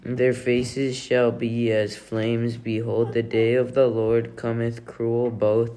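A woman reading scripture aloud in a halting way, restarting phrases as she goes. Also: a bass voice, flat delivery, dark tone. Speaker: family member taking a turn reading. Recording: clearly noisy home recording.

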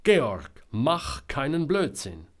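A man voicing a bold, suspicious woman reporter in a storybook, with forceful, challenging, exasperated demands. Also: mid-range voice, big pitch swings, takes it slowly, pitched above the performer's own voice, exaggerated voice. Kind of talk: dramatic audiobook character voice.

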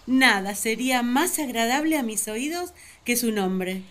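A woman's voice: fairly high pitch, expressive, unhurried, a bit bright. A woman playing Eve, an earnest, talkative young first woman, telling her story in a radio play. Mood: intrigued. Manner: earnest, wistful, storytelling to the listener.

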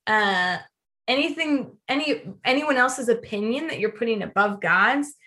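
A woman with a slightly high voice co-hosts a casual faith podcast. She speaks conversationally and leans hard on key phrases, repeating them for emphasis. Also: expressive, unhurried, bright, forceful tone. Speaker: podcast co-host.

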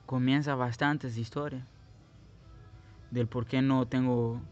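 A man's voice: medium pitch, darkish tone, muffled recording. A young Guatemalan man telling his life story in a casual, rambling way, with many restarts. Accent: Guatemalan Spanish. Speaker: podcast host.

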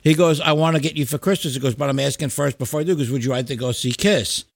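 Casting: middle-aged male podcast host, fairly high voice, dry close recording, blunt and foul-mouthed in casual, emphatic chat. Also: quick pace, creaky, dry recording. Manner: profane, blunt, opinionated, emphatic.

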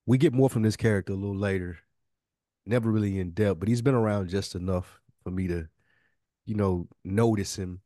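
A man's voice: on the low side, fairly quick, warm tone, no room sound, clean studio sound. A man co-hosting a podcast, talking informally as he thinks aloud. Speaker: podcast co-host. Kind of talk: casual chat.

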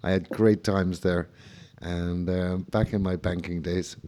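A man's voice: sits low, flat delivery, a little slow, dark tone. A middle-aged man hosting a radio interview, teasing his guest with jokes and banter. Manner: teasing, wisecracking. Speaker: radio show host.

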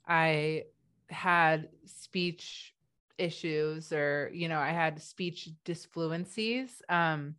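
A woman's voice: lowish voice, fairly bright tone, clean tone. A woman whose first language is Hebrew, a guest on an interview podcast, speaking with a stutter, with repeated sounds and restarts, from her home office over a video call. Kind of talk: interview conversation.

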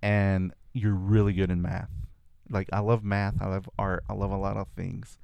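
A man's voice: deep voice, flat delivery, normal speed, creaky, smooth, no echo, muffled recording. A man whose first language is Spanish, a guest reflectively telling stories from his childhood in a casual conversation. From California. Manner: reflective storytelling with frequent restarts.

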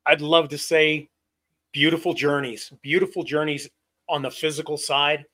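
A man's voice: fairly high pitch, fairly even intonation, moderate pace, bright, forceful tone. A man from Vermont, co-hosting a men's talk show and reflecting earnestly, often repeating his words. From Vermont, USA. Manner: earnest, repeats words, speaks of ancestors and the soul. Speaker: co-host.

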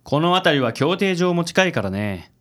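Man acting a suave, pompous, theatrical dandy, animated. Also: a little high, moderate pace. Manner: pompous, passionate, sprinkles English words. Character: suave, theatrical, overzealous self-styled handsome man. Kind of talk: comic radio drama acting.

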